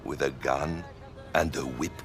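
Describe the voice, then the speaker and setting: An actor playing a menacing, fanatical villain with an Italian accent, stern and accusing, in an adventure game. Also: male voice, rumbling low, fairly even intonation, measured pace, faint music behind. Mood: stern, suspicious. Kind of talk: cinematic adventure game acting.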